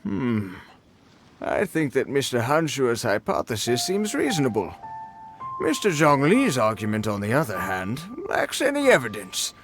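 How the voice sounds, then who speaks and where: A pushy history buff. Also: male voice, medium pitch, huge pitch swings, measured pace. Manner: challenging, leading questions. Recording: game cutscene with music underneath.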